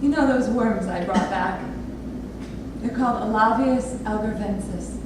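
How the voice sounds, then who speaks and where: A woman's voice: medium pitch, unhurried, lightly acted. An actress playing an earnest, intense grown daughter of an abusive father in a staged play reading. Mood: reflective, earnest. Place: theatre lab room with an audience area. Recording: very echoey room, distant mic, noisy.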